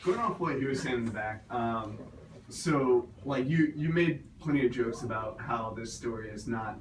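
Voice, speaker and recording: Male audience questioner, halting and informal, medium pitch, echoey distant pickup with background noise. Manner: halting, searching phrasing. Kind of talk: casual question from the floor. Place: bookstore event space. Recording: distant, echoey, noisy room.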